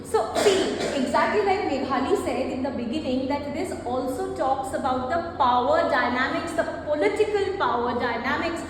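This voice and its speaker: High-voiced woman teaching in an echoey room. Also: animated, moderate pace, hardly any pauses. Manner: explanatory, repeats and rephrases, frequent fillers. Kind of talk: classroom lecture. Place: classroom. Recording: echoey classroom, faint noise.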